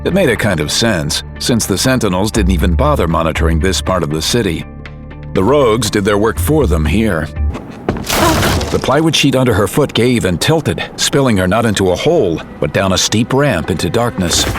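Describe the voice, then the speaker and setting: A man narrates an audio drama in a steady, measured storytelling voice. His pitch sits low, and a clear music bed plays under his reading.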